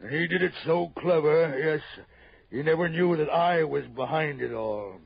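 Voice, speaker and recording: A male actor playing a gross, wheezing rich man in an old radio drama, halting and blustering as he repeats himself. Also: a little high, vocal fry, husky, no room sound, highs cut off. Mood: boastful. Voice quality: wheezy, labored breathing. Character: gross, wheezing, frightened rich fat tycoon. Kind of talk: 1960s radio drama acting. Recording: band-limited old radio recording.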